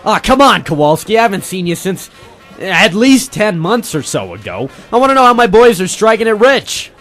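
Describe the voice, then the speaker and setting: Man playing a high-voiced, wry radio private eye. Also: sing-song, moderate pace, a bit bright, faint music behind. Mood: coaxing, wry. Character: male private eye, ex-Marine sergeant, persistent and wry. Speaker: narrator and lead character. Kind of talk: 1940s detective radio drama, first-person narration and dialogue.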